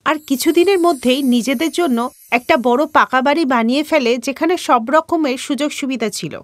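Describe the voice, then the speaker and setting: A woman's voice: high-pitched, normal speed. A woman narrating a scripted children's story as the storyteller.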